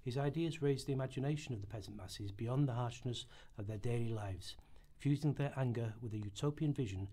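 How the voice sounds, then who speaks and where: Slightly husky male narrator. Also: medium pitch, a little fast, dark tone. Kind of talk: scripted documentary narration for a radio play rehearsal.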